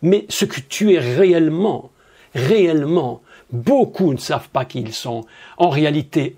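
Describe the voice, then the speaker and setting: A male preacher delivers his sermon slowly, stretching out key words. His voice sits high and rises and falls widely as he poses rhetorical questions. He speaks with insistent emphasis. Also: darkish tone, husky.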